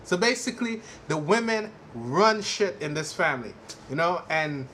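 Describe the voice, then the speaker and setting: Male fan vlogger, slightly high-pitched, rambling and halting casual commentary, some noise in the recording. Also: expressive, bright, forceful tone. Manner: halting, repetitive, frequently says "you know". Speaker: fan vlogger giving a TV show reaction. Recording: clearly noisy amateur recording.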